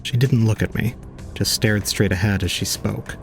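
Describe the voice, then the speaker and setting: A man's voice: lowish voice, moderate pace, gravelly, faint music behind. A man playing an aloof young man, narrating an audio drama in a formal, detached, cold way. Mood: brooding, detached.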